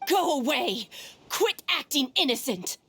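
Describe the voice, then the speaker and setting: A woman's voice: high-pitched, very animated, unhurried, fairly bright tone, exaggerated voice. A woman voicing a hostile, suspicious townswoman in a video game, speaking in a scornful, accusatory way. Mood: angry.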